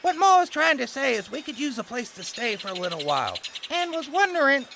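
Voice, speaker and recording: A man playing a brash, sarcastic, excitable country boy with a creaky, sharp voice in a comedic audio drama. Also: very high-pitched, fairly quick, a little hiss or hum, exaggerated voice. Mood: snide.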